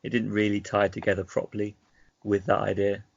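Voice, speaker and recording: A man's voice: lowish voice, monotone, a little fast, vocal fry, dry recording, lo-fi sound. A man co-hosting a podcast, explaining his thoughts reflectively in casual discussion. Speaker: podcast co-host.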